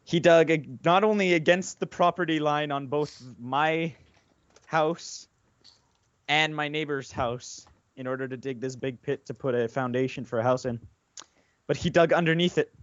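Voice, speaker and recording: A man's voice: a little high, moderate pace, dry recording. Man telling a story, dull lo-fi audio. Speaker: podcast co-host. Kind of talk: casual storytelling among friends.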